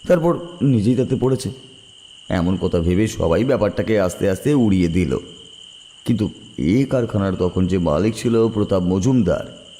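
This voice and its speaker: A man playing a rural local who recounts dark tales in a colloquial, deliberate way in a horror audio drama. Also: low voice, slight background noise.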